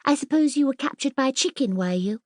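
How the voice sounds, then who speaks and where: A woman's voice: sits high, expressive, fast, darkish tone, no room sound, studio quality, pitched above the performer's own voice. A woman voicing a boastful, mischievous young princess in a grand, bossy, theatrical manner for a children's audiobook. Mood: scornful, breezy.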